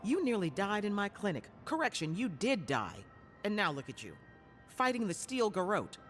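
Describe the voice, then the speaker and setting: An actress playing a blunt, scolding but caring healer in a fantasy game cutscene. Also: female voice, mid-range voice, very animated, moderate pace, faint music behind, lightly acted. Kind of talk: dramatic video game cutscene acting.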